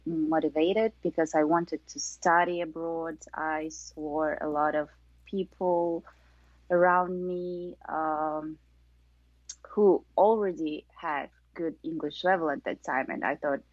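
A woman answers questions slowly in a somewhat low voice, pausing on fillers and laughing often. The sound is dull and dry, like a lo-fi call recording. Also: no echo. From Kazakhstan. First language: Kazakh. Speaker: guest. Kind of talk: casual interview.

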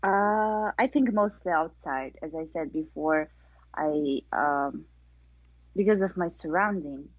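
A woman answers questions slowly in a somewhat low voice, pausing on fillers and laughing often. The sound is dull and dry, like a lo-fi call recording. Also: no echo. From Kazakhstan. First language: Kazakh. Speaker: guest. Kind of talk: casual interview.

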